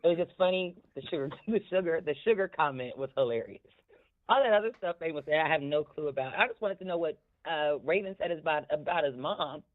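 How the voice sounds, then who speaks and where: A woman's voice: low-pitched, brisk. A woman with a smoky voice chatting casually and candidly about podcasts, swearing freely and prone to laughing. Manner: candid, profane, laughs often. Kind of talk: casual chat, commentary on podcasts. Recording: thin, tinny phone-line audio.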